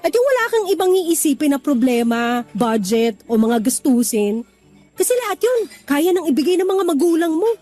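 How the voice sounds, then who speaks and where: A bubbly young office worker woman, teasing and lively in a radio drama. Her voice sits very high and bright. Soft music plays underneath. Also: normal speed. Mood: playful.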